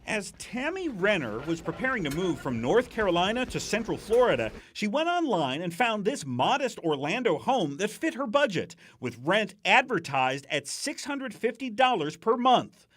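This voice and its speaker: A man's voice: high-pitched, sing-song, moderate pace, few pauses, a bit bright. A man reporting an investigative story in a TV news narration style.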